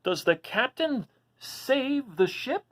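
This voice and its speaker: A woman voicing an eager young male dragon who loves books, pleading and bursting with excitement. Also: on the high side, slow, voice pushed up, full character voice.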